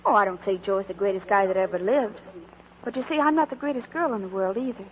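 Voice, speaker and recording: A woman's voice: medium pitch, fast talker, natural voice. An actress playing an earnest, plainspoken young working woman in love, with an American accent, in 1940s radio style. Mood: wistful, sincere. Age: young adult. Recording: muffled old radio broadcast with music.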